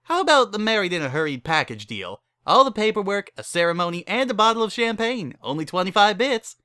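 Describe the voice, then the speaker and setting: A man voicing a tipsy, breezy middle-aged pony mare who officiates weddings with brisk, casual patter in a dramatic story reading. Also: high voice, very animated, bright, forceful tone, clean tone, no room sound, clean studio sound, pitched above the performer's own voice, heavily acted. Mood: breezy, businesslike.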